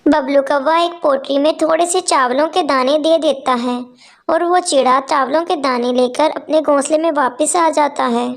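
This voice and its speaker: A woman's voice: high-pitched, steady tone, normal speed, creaky. A woman narrating a scripted children's story.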